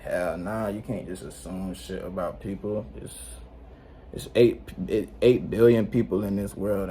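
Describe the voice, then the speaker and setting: Teen male vlogger, low voice, slangy and rambling, faint room hum behind. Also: mellow. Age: late teens. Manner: slangy, rambling, laid-back, frequent "you know what I'm saying". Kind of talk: unscripted casual vlog talk. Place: indoors at home.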